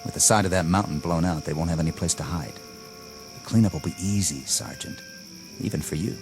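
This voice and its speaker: Casting: cocky, bloodthirsty army lieutenant, lowish voice, harsh and scornful, barking orders over heavy score. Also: male voice, a little fast, over music. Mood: cold. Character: arrogant gung-ho young male army lieutenant. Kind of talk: 1960s TV drama acting. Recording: soundtrack with loud score.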